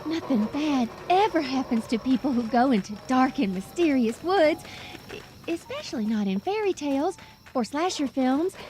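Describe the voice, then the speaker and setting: A woman's voice: sits high, expressive, normal speed. A woman voicing a dim, bubbly young woman in a video game, naive and self-satisfied. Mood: nervous, self-reassuring. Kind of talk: video game cutscene acting.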